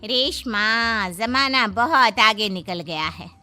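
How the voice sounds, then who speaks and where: Village gossip for a cartoon, played by a woman: knowing, moralising, unhurried, high-pitched, music underneath. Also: expressive, sharp tone, exaggerated voice. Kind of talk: Hindi cartoon story dubbing. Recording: music behind the voice.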